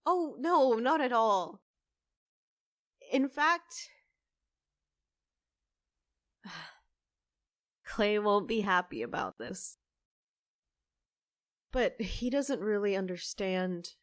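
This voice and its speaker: An actress playing a kind, apologetic half-human cafe co-owner in a fiction podcast, gentle and hesitant in manner. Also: female voice, mid-range voice, lively intonation, slow, pauses often. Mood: hesitant, confiding.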